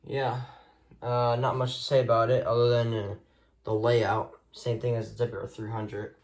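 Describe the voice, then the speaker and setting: A man hosts a casual hobby showcase with a medium-pitched voice. He talks off the cuff, rambling a little in an informal, offhand way as he moves from item to item. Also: level tone, moderate pace, a little mellow. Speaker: hobbyist video host. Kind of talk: casual showcase of a radio collection, unscripted.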